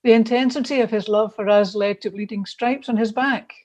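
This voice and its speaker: A woman reads a prepared devotional in a voice pitched a little high. She sounds earnest and puts emphasis into the lines as a church reader. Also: fairly even intonation, brisk, darkish tone. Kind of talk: scripted devotional reading.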